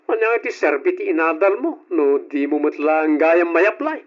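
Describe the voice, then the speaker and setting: A bossy, lecturing young elder brother, played by a man in an old radio drama, his voice pitched high for a man. He scolds his younger sibling about work. The recording sounds dull and boxy like old broadcast audio. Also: lively intonation, moderate pace, soft background music, close to an everyday voice. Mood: scolding.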